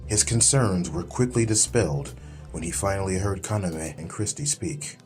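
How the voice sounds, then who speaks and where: A man narrates a dramatic audiobook from a script. His voice is deep, and music plays clearly behind it. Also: moderate pace.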